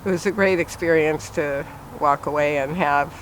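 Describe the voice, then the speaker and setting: An older man, a retired preschool director, looking back in an interview at an unhurried pace with frequent pauses and fillers. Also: a little high, fairly even intonation, fairly bright tone, slight background noise, poor audio. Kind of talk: reflective interview. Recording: music bed underneath.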